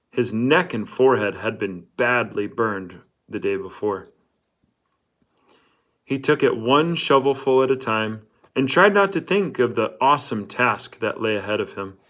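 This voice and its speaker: A man's voice: mid-range voice, fairly bright tone. A man narrating a children's novel in a read-aloud storytelling style.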